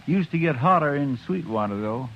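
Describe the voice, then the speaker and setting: A folksy, eager deputy. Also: male voice, on the high side, expressive, normal speed. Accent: American Southern. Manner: polite, deferential, folksy drawl. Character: earnest eager-to-please deputy, young man. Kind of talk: 1950s radio drama acting. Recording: dull lo-fi old radio recording with faint hiss.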